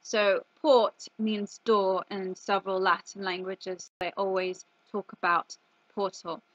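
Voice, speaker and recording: A woman's voice: medium pitch, flat delivery, slow pace, lo-fi sound. A woman delivering an emphatic commentary monologue, punctuating her points with rhetorical questions and exclamations. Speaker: video commentator.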